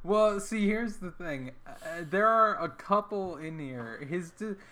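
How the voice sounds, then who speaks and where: A man's voice: high-pitched, expressive, a little slow, bright, forceful tone. A young adult man co-hosting a podcast, joking in casual chat and often restarting his words. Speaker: podcast co-host.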